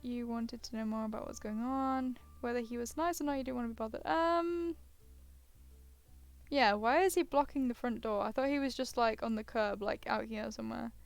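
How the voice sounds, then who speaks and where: A woman's voice: a little high, steady tone, normal speed, gravelly, faint music behind. A woman gaming streamer reading a visual novel aloud, casually breaking off into hesitant asides and sounding tired. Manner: hesitant, self-interrupting asides. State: tired, yawning.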